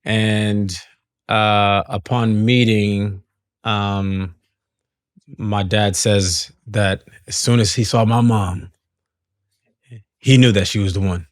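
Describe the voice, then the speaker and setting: A man speaking in a relaxed, halting way as a singer being interviewed. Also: fairly low pitch, dry recording, clean studio sound. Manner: relaxed, hesitant, laughs often. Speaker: guest singer. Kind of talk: conversational interview.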